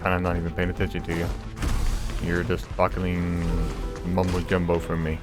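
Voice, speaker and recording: A man's voice: deep voice, monotone, moderate pace. A man giving casual, blunt, irreverent let's-play commentary, talking back to the game as he plays. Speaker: gameplay streamer. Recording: game music behind the voice. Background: video game music.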